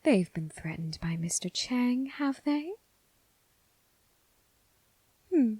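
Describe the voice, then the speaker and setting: A woman playing a commanding, teasing, coolly amused mob boss in an ASMR roleplay, husky and breathy, speaking with deliberate, condescending pauses. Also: lively intonation, dark tone, no room sound. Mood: probing, amused. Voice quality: husky, breathy, low, creaky. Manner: slow, deliberate, purring condescension with drawn-out pauses. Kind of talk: ASMR roleplay, scripted character acting.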